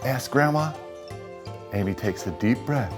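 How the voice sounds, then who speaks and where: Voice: mid-range voice, expressive, a little slow, over music. A reader narrating a children's story from a script.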